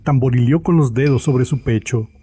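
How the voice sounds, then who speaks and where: A man's voice: medium pitch, normal speed, mellow, a little hiss or hum. A man narrating a horror story from a script. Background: music.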